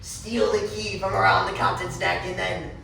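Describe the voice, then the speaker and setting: A man's voice: high voice, moderate pace, gravelly, sharp tone, full character voice. Exasperated doubting pirate played by a man, raised projecting voice, stage delivery, very echoey, distant mic. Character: skeptical, exasperated pirate crewmate. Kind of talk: stage readers theatre performance. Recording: echoey room, far mic, noisy.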